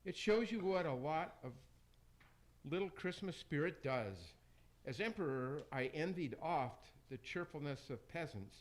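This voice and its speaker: A man playing an excitable, repentant Roman emperor with effusive, rhyming speech in amateur radio theater. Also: fairly high pitch, a little slow. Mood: moved, joyful.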